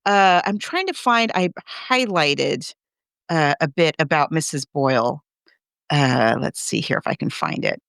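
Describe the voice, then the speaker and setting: A woman co-hosting a casual book discussion, recapping a story in an animated, chatty way with amused asides. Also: deep voice, unhurried, creaky, no echo, studio quality. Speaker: podcast co-host.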